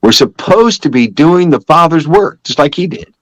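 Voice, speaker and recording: A man's voice: a little high, animated, moderate pace, no echo, rough recording. An older man giving a personal religious testimony as a guest, telling it in an emphatic, repetitive, conversational way.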